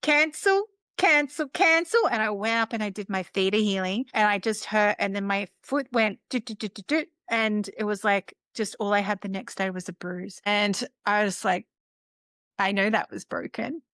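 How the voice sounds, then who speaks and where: A woman's voice: mid-range voice, moderate pace, no echo. A woman podcast host, chatting and telling stories with enthusiasm. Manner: animated, enthusiastic storyteller. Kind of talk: casual interview chat.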